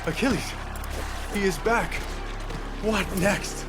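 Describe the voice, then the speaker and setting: An actor playing an anguished, defiant young warrior prince in a video game, declaiming with great passion. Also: male voice, high-pitched, slow, noisy background. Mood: desperate. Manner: declaiming, impassioned.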